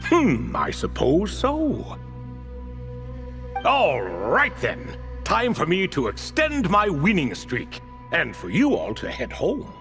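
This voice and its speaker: A smug, slow-spoken game figure. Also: male voice, fairly high pitch, huge pitch swings, a bit bright, full character voice. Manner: measured, dry, boastful. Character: proud wry male game figure, confident, gloating. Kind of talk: video game voice acting. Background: game music.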